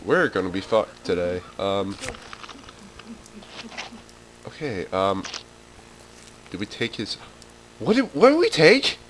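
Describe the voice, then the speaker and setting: A man's voice: lowish voice, huge pitch swings, a little slow, a bit bright, a little hiss or hum, low-quality recording. A young man hosting a gaming video, commenting nervously as he plays, swearing and laughing nervously, yelping when startled. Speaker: gaming channel host. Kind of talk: let's play commentary.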